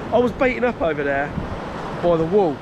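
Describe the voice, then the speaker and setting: A man's voice: sits high, expressive, brisk. A man vlogging his fishing trip on a beach, talking in a chatty, rambling way with a blocked nose from a cold. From England. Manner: chatty, rambling, self-correcting with small laughs. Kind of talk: casual vlog narration. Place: open beach by the sea. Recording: loud surf noise outdoors. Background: loud waves and wind.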